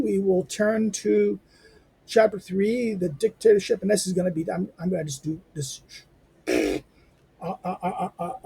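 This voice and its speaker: A hoarse man winds down a reading lecture in a loose, rambling way, stumbling over fillers and restarting his sentences. His voice sits high for a man, with a rough, gravelly edge and a dark, mellow tone. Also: low-quality recording. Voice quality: squeaky. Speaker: lecturer and channel host. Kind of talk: informal spoken outro to a reading lecture.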